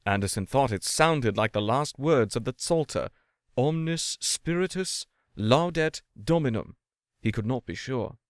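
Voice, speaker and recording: Expressive man reading a story aloud. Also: mid-range voice, normal speed, hardly any pauses, no room sound, clean studio sound. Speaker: story reader. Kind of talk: dramatic literary narration.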